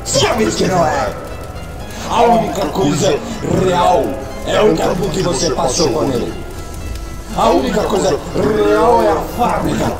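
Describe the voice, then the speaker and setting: A man's voice: high voice, animated, unhurried. A man voicing a cruel phantom voice that torments a fighter in an audio drama. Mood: taunting. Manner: taunting, cruel. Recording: roomy echo under loud music.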